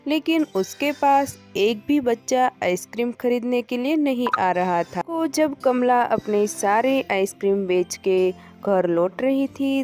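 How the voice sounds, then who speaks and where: A woman's voice: medium pitch, a little mellow. A woman narrating a scripted moral story for children.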